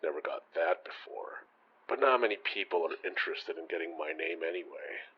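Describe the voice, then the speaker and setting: A man playing a gentle, folksy old homeless man in an audio drama, speaking with courtly politeness. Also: low-pitched, animated, slightly rough, dull audio. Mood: friendly, wry. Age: older. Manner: courtly, folksy, slow-spoken. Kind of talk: naturalistic audio drama acting.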